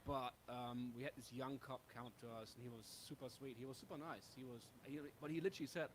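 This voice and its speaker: Halting man talking over noticeable noise. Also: medium pitch, steady tone. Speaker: busking musician being interviewed. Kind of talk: casual anecdotal chat. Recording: clear background noise.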